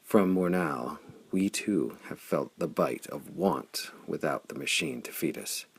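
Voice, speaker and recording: A man voicing an urgent, desperate young woman in an adventure audiobook, speaking in a hurried, earnest, husky way. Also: very deep voice, exaggerated voice.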